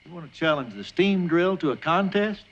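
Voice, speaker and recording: A man's voice: a little high, animated. An actor playing a confident, mocking railroad company man, emphatic and persuasive, in a television folk-tale drama, on slightly dull videotape. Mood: challenging. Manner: emphatic, deliberate.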